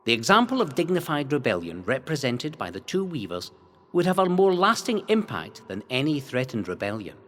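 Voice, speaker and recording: Dramatic male history narrator, widely swooping pitch. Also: big pitch swings, fairly bright tone. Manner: dramatic, measured storytelling with emphatic phrasing. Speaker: narrator of a local history series. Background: music bed.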